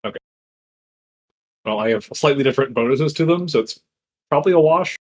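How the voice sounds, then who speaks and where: Animated man chatting during a game. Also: mid-range voice, brisk. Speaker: tabletop game player. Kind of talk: casual game chat.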